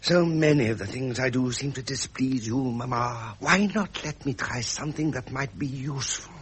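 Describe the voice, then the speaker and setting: An actor playing a genial English royal heir in a radio drama, speaking with courteous, earnest eloquence. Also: male voice, mid-range voice, normal speed, breathy edge. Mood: earnest pleading.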